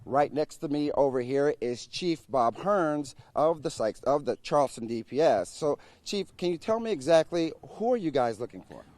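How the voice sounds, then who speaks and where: A man's voice: fairly high pitch, expressive, normal speed, hardly any pauses, darkish tone, no room sound. A man with an American accent delivering scripted broadcast news as an anchor and field reporter.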